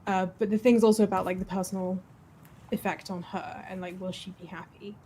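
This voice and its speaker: A woman's voice: medium pitch, fairly even intonation, brisk, dark tone, slight background noise. A woman in her twenties chatting casually and thoughtfully during a let's play, with some hesitation. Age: 20s. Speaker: game streamer, PhD student.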